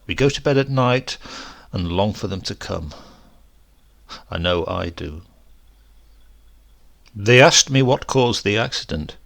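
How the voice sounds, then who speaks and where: A man reading a horror story as a shaken, rambling accident survivor, halting and conversational, brooding and prone to outbursts. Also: lowish voice, expressive, gravelly, fairly bright tone, exaggerated voice. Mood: haunted, confiding. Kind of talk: dramatic first-person horror story reading.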